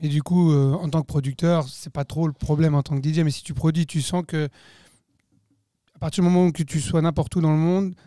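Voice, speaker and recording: Curious talk-show host, mellow voice. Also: male voice, fairly high pitch, steady tone, a little fast, dry recording, clean studio sound. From France. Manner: curious, joking questions. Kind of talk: casual interview chat.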